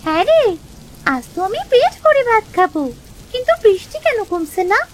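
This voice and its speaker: A performer voicing a dreamy, insistent little village girl in a cartoon, expressive and pleading. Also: very high voice, moderate pace, a little hiss or hum. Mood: curious, happy. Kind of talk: animated moral story acting.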